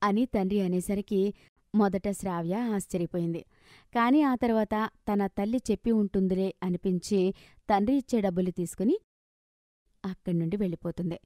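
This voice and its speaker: A woman's voice: medium pitch, moderate pace, mellow, dry recording, studio quality. A woman narrator reading a scripted moral story.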